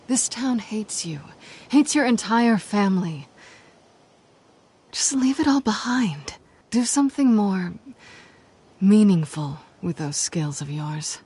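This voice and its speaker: Sly, low-voiced female cat burglar. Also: a little mellow, a little hiss or hum. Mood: coolly urging. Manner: teasing, dismissive, cool. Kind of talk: video game dramatic acting. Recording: background music under the voice.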